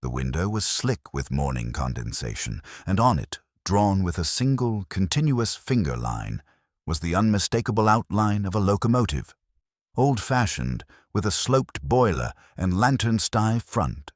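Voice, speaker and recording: A man's voice: sits low, very animated, moderate pace, dry recording. A man narrating a scripted mystery story in a dry, literary manner.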